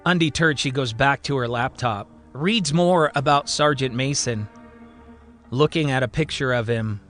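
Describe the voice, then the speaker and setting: A man's voice: medium pitch, a little slow, fairly bright tone, faint music behind. A man telling a scripted story as a podcast storyteller, with a theatrical, emphatic and suspenseful delivery. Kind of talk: dramatic scripted story narration.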